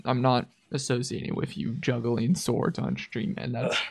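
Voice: mid-range voice, little pitch movement, moderate pace, creaky, husky. A livestream host chatting casually with a guest in an informal, encouraging way. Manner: informal and encouraging, with 'man' interjections. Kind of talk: casual chat.

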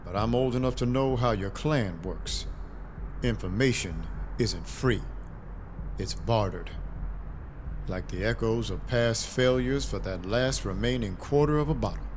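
A man's voice: fairly low pitch, a little slow, background noise, lightly acted. A man playing a dry, brooding, world-weary vampire detective with terse noir delivery in an audio drama. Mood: wry, businesslike. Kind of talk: first-person noir narration, audio drama acting. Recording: loud music behind the voice.

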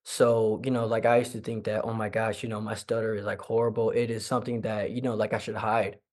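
Young male guest who stutters; halting, filler-heavy speech in an even, level tone. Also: on the low side. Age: young adult. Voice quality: stutters with repetitions. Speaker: interview guest. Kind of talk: conversational interview.

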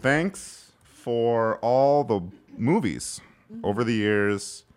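A man's voice: medium pitch, darkish tone, clean tone. A man co-hosting a comedy podcast, riffing through bits and mock ad reads in a joking way. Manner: jokey, fast bursts, bits and fake emails. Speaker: podcast co-host. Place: in-person studio recording.

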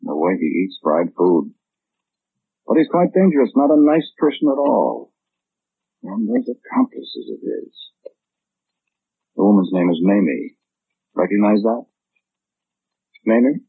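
A man's voice: lowish voice, huge pitch swings, measured pace, gravelly, long pauses, breathy edge, full character voice. An actor playing a blandly self-assured know-it-all man in a 1940s radio drama, speaking matter-of-factly with fussy, precise wording on a muffled old broadcast. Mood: chatty, warning.

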